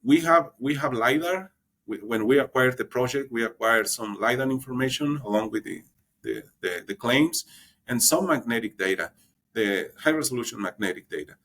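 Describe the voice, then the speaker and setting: A man of middle pitch talking through technical plans in an interview. He speaks haltingly, doubling words and restarting phrases as he goes. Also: fairly bright tone. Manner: halting, repeats words while searching for them. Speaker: exploration geologist guest. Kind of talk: interview explanation.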